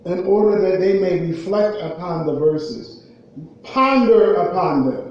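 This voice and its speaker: A man with an American accent preaching a sermon in an emphatic way, repeating phrases and pausing between them. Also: high-pitched, expressive, a little mellow. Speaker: preacher giving a Friday khutbah. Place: indoor prayer hall, at a raised pulpit. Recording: muffled, very echoey hall, far from mic.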